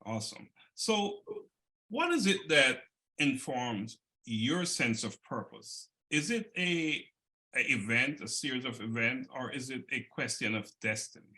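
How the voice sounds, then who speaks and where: A man's voice: medium pitch, expressive, unhurried, sharp tone. A man hosting an interview, encouraging his guest and stammering a little as he starts his questions. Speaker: interview host. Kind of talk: casual interview.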